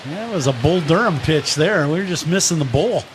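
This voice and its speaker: Man, high voice, baseball color commentary, conversational live analysis, noisy ballpark broadcast. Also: lively intonation, a little fast.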